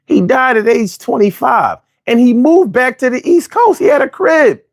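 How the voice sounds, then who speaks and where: A man's voice: very high voice, animated, moderate pace. A man in his forties from Philadelphia with a Philly accent, hosting a casual, argumentative monologue full of slang and restarts. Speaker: host.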